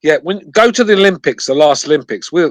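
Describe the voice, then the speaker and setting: A man's voice: fairly high pitch, lively intonation, quick pace, dry recording. A man from Britain with a British accent, talking emphatically and repeating words in an argumentative sports chat. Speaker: podcast panelist.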